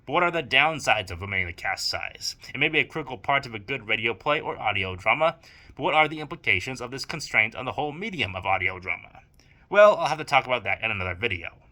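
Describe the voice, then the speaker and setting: A man with a very bright, sharp, forceful voice at a medium pitch delivers a scripted video essay. He phrases things formally and stresses his opinions emphatically, like a writer presenting a firm argument. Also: sing-song, fast talker. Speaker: audio drama writer and producer presenting a video.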